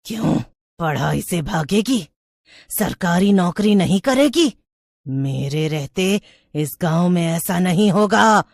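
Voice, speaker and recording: A woman's voice: on the low side, expressive, slow, creaky, no echo, clean studio sound, heavily acted. A woman voicing a menacing, cackling witch ghost with a theatrical, scolding delivery in a horror storybook. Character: menacing educated female witch ghost obsessed with studies.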